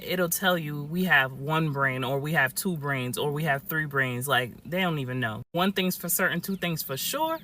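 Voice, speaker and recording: A man's voice: on the high side, bright, forceful tone. A man giving a casual story-time talk, explaining things to his viewers in a chatty, direct way. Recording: distant mic with faint background noise.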